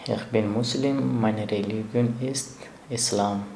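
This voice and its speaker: Young afghan guy, halting broken german, low flat gravelly voice, echoey room with noise behind. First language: language of Afghanistan. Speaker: interviewee, asylum seeker and runner. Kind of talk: interview answers in simple German.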